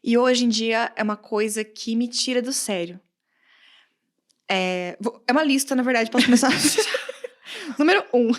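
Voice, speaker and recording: A woman from Brazil with a countryside accent, a guest English teacher chatting casually on a podcast, animated, often laughing and acting out quoted comments. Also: a little high, a little slow, long pauses. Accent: Brazilian Portuguese, countryside.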